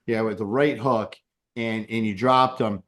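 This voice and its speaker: A man's voice: on the low side, steady tone, a little slow, a bit bright. A man hosting a casual MMA podcast interview who asks long, hyped-up questions and often stumbles and repeats words. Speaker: podcast host.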